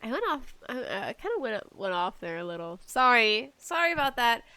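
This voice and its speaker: A woman with a nasal, congested voice hosting a casual, rambling solo podcast in an animated, pleading way with self-deprecating asides. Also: on the high side, normal speed, slight background noise. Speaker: podcast host.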